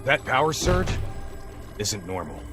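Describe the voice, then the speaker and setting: An actor playing a gruff, wary special forces soldier in a video game, terse and sardonic. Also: male voice, mid-range voice, very animated, measured pace. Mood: tense. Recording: loud game music behind the voice.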